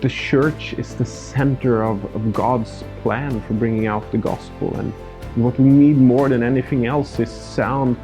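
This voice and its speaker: A man's voice: medium pitch, fairly even intonation, a little slow, warm tone, faint music behind. A Swedish man in his thirties with a Swedish accent and native Swedish, a pastor speaking earnestly in a personal documentary interview. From Sweden, countryside. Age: 30s. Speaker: pastor and church planter.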